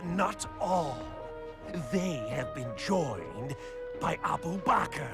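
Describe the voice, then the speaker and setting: An actor voicing a scornful, scheming villainous chieftain in an animated film, theatrical and sneering with drawn-out emphasis. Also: male voice, a little high, full character voice. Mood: grim, menacing. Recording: loud music behind the voice.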